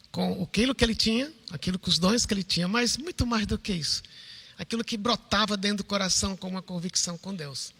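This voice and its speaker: A man's voice: sits high, bright, forceful tone. A Brazilian Portuguese-speaking man, a pastor welcoming guests and addressing his congregation in a church. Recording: reverberant church hall sound system.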